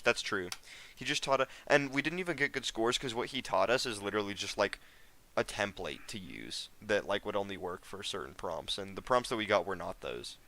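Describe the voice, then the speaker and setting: A man's voice: fairly low pitch, pushed and bright. A teenage American guy streaming a game, chatting casually with viewers in a rambling, slangy way. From United States. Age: teens. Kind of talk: casual live stream chat.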